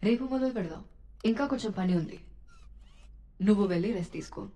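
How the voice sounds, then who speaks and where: An actress playing a proud, defiant ship's AI in an animated series, with a sassy, argumentative manner. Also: female voice, mid-range voice, fast, close to an everyday voice. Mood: dry, sassy. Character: proud, defiant female AI of a crashed cargo ship.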